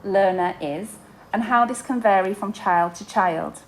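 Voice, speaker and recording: A woman's voice: medium pitch, measured pace, a little hiss or hum, rough recording. A young adult woman, an inclusion teacher, explaining to parents in a podcast-style talk, with frequent fillers and restarts.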